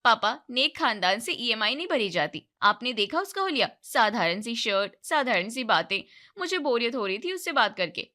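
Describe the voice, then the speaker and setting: A woman acts out a young, money-minded daughter in a cartoon moral tale. Her voice is bright and cutting, and she is blunt, scornful and demanding about what she wants. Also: fairly high pitch, sing-song, normal speed, few pauses. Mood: scornful, insistent. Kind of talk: moral-story cartoon voice acting.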